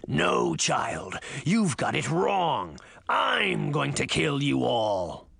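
A man voicing a menacing wolf monster in a storybook, mocking and slow, with a low growl. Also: wildly swooping pitch, vocal fry, a bit bright, husky, dry recording, heavily acted. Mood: threatening. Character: menacing male wolf monster, sadistically amused, taunting.

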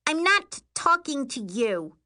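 A woman voicing an indignant, bossy twelve-year-old girl in a shrill, snapping voice for an audiobook. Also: expressive, slow pace, pushed and bright, heavily acted. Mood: furious.

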